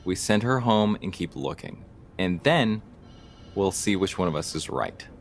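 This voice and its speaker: A man's voice: low voice, lively intonation, unhurried, a little hiss or hum. An actor playing an earnest, thoughtful police detective who stands his ground in an audio drama. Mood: earnest, insistent. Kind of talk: audio drama acting, conversational.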